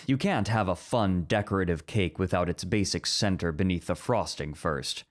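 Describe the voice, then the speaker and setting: A man acting in an ASMR roleplay as a strict, orderly academic teacher who is easily flustered, speaking in formal, measured lectures. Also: deep voice, natural voice. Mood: flustered, defensive.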